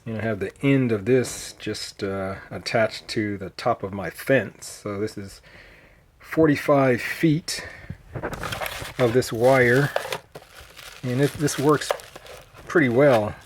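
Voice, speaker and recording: Slow, halting man in casual show-and-tell. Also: mid-range voice, noisy background. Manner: hesitant, halting delivery with frequent pauses. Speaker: hobbyist video host. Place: at home indoors, later outside in a yard. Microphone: handheld phone.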